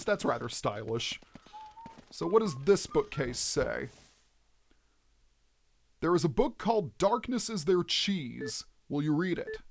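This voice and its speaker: A man's voice: fairly high pitch, a little hiss or hum. A man giving video game let's play commentary in a theatrical, explanatory manner as the host. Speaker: let's player, host of the series.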